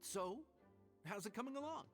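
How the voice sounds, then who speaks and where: An actor playing a brash, confident young townsperson in a video game, chiding like a nagging friend. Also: male voice, high voice, animated, moderate pace, fairly bright tone, soft background music.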